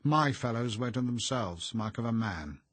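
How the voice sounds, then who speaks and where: A man's voice: medium pitch, animated, moderate pace, fairly bright tone, no echo, voice pushed up. A man voicing a smug, blustering, hearty middle-aged schoolmaster who talks in clipped, boastful slang for an audiobook. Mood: firm, blustering.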